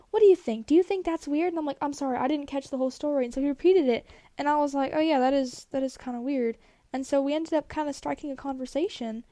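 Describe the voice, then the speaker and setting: A woman's voice: high voice, dark tone, no room sound. A teenage girl telling a story in casual chat, rambling with lots of "like", slightly congested from a cold. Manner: rambling, many "like" fillers. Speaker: vlogger.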